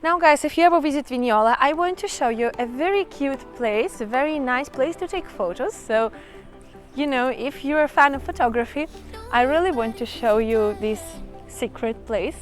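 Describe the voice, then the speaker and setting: Chatty high-voiced woman vlogging outdoors. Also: animated, moderate pace, faint music behind. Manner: chatty, enthusiastic, addresses viewers as guys. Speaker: travel vlogger. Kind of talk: casual travel vlog to camera. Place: outdoors in a small town.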